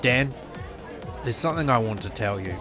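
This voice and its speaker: A man's voice: medium pitch, expressive, normal speed, over music, crowd noise, close to an everyday voice. A man voicing a reserved, remorseful young man in a visual novel, speaking in a subdued, sincere way.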